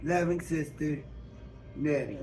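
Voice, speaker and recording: A man's voice: a little high, expressive, takes it slowly, darkish tone, faint music behind. A man reading a novel aloud, delivering first-person narration written in Southern dialect. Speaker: book reader. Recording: noisy public store ambience.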